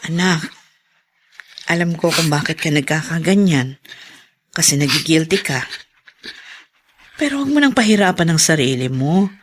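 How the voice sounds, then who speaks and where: A middle-aged mother in a radio drama. Her voice sits a little low, and she speaks in a motherly, consoling, reassuring way. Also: lively intonation, normal speed, long pauses, a little hiss or hum.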